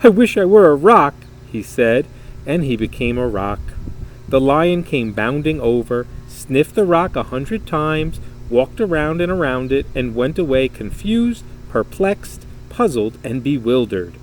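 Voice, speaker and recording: Animated male storybook reader, highly varied pitch. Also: a little slow, a little hiss or hum. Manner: dramatic, emphatic storytelling with varied phrasing. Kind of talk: expressive read-aloud of a children's story.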